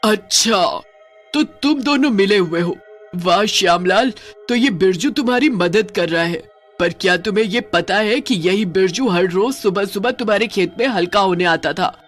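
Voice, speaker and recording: A woman voicing a menacing witch who haunts a farm, scolding and taunting in a theatrical animated ghost story. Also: mid-range voice, normal speed, faint music behind, natural voice.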